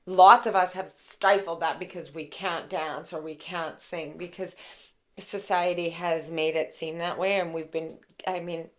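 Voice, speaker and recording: A woman with a raspy voice giving a casual, chatty tarot reading, full of fillers and warm asides to her viewers. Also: fairly low pitch. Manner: gushing, chatty, many fillers like "like" and "okay". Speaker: tarot reader and intuitive.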